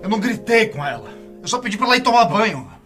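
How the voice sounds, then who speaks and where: Man as exasperated father, insistent and repetitive; bright, very lively pitch. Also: quick pace, soft background music, heavily acted. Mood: defensive. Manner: insistent, repeating the name over and over. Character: exasperated father pleading at a locked door. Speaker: father and teacher.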